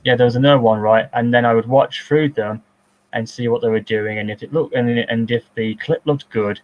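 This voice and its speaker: A man's voice: fairly even intonation, brisk, no room sound, muffled recording. A man in his thirties giving casual commentary on his own film over an online call, rambling and talking about his distinctive voice. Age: 30s. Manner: rambling, self-described distinctive high voice.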